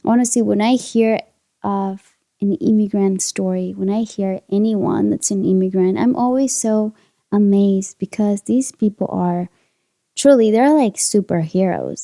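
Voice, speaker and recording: A young woman hosts a podcast in English, her first language being Spanish. She talks in an earnest, encouraging way and repeats her key lines for weight. Her voice is mellow with a gravelly edge, recorded close and dry. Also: medium pitch, dry recording. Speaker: podcast host. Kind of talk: motivational podcast monologue.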